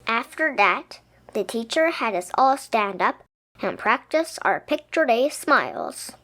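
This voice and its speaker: Slow, higher-voiced woman reading a storybook. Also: very animated, pushed and bright. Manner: dramatic, with emphatic pauses. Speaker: picture book reader. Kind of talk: expressive children's storybook narration. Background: music.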